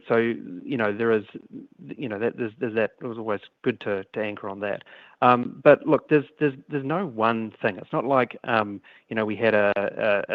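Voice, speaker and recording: A male executive fields questions on an earnings call in a fairly low voice. He speaks haltingly, often doubling back on his words. The sound is echoey and slightly muffled, as on a conference line. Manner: halting, repeats and restarts words. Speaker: company executive answering analysts. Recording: echoey conference call audio, band-limited.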